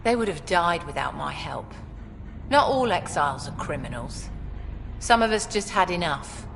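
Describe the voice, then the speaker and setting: A woman's voice: fairly low pitch, very animated, normal speed, gravelly, in a large hall, background noise. A woman playing a hardened, short-tempered outlaw leader in a video game, speaking in a blunt, menacing way. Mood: angry, defiant. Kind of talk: video game cutscene acting.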